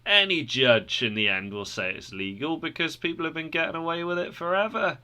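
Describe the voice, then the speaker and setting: A man's voice: a little high, lively intonation, fairly quick, pushed and bright, smooth, voice pushed up. A man voicing two cocky male travelers who laugh off criticism and justify a shortcut, in a brash, dismissive way. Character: Hypocrisy and Formality, cocky wall-climbing men.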